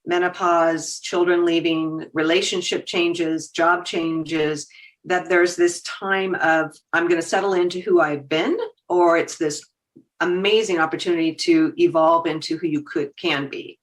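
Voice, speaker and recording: A woman's voice: on the low side, steady tone, a little slow. A woman in her fifties, a podcast guest talking casually and animatedly, laughing easily and voicing her own thoughts as quotes. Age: 50s. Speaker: guest, agency founder. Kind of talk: casual conversation.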